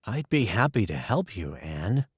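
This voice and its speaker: A man voicing a gentle, friendly young man in a storybook reading, close to his own voice. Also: on the low side, very animated, a little slow, husky, no echo, full character voice.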